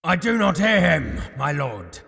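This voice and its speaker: A man's voice: fairly high pitch, big pitch swings, a little slow, creaky, exaggerated voice. A man playing a huge, loyal warrior son in an audio drama, calling out reverently and pleadingly to his lord. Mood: uneasy. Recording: echoey hall, loud noise behind.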